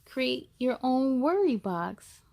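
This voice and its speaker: A woman's voice: high-pitched, big pitch swings, slow pace, vocal fry, mellow, no room sound. A woman hosting a children's read-aloud, speaking encouragingly and directly to young listeners between storybook passages. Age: adult.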